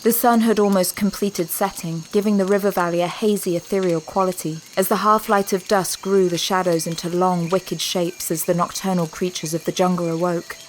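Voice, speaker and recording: A woman's voice: lowish voice, fairly even intonation, moderate pace, hardly any pauses, slight background noise, rough recording. A woman narrating an audio drama from a script, as a storyteller between scenes. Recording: clear ambient sound behind.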